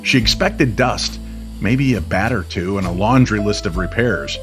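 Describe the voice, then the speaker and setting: A man telling a mysterious story in old-time radio style, expressive and measured, with weighty pauses. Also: fairly low pitch. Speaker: co-narrator of an audio story series. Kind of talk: dramatic scripted story narration in old-time radio manner. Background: music under the voice.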